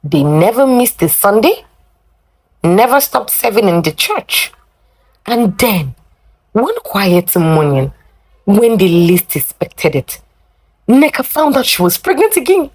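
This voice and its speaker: A woman telling a folktale in a dramatic, deliberate, emotive way with drawn-out pauses. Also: medium pitch, sing-song, darkish tone, rough recording. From Nigeria. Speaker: storyteller. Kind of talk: dramatic oral folktale narration.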